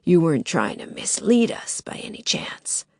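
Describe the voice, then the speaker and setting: A woman's voice: big pitch swings, moderate pace, darkish tone, no echo, studio quality. Woman doing a harsh-voiced masked male intruder. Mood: menacing. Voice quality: thick and harsh. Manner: low menacing whisper.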